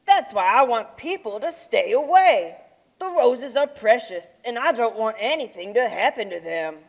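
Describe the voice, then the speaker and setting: Woman voicing a shy, hesitant, low-pitched Yeti. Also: sing-song, moderate pace. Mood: protective. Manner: hesitant, mild-spoken. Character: shy, gentle male Yeti guarding his roses. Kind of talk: children's puppet show acting. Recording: live puppet show performance.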